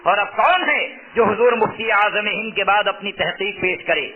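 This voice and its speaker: High-pitched male preacher, tinny sound. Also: normal speed, slight background noise. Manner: emphatic, repeats key phrases. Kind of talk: sermon explaining a fatwa to listeners. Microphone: loudspeaker microphone close to the mouth. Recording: tinny phone-like loudspeaker sound.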